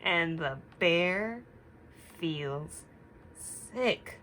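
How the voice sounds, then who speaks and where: A woman teacher reading a picture book aloud to young children, instructive and deliberate, stopping to put questions to them. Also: lowish voice, lively intonation, a bit bright, a little hiss or hum.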